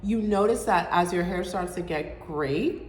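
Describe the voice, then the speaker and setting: Young woman vlog host, nasal with a cold, lowish voice, long reverb around her. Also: unhurried, slight background noise. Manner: chatty, informal, explaining step by step. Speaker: show host. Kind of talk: casual hair-care vlog. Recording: echoey room with long reverb.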